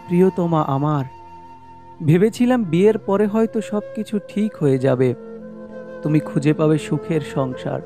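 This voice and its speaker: Man acting a high, gravelly, pleading young lover. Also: exaggerated voice. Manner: pleading, emotional, repeating phrases. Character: heartbroken young male lover, anxious and pleading. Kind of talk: dramatic horror cartoon voice acting. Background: loud music.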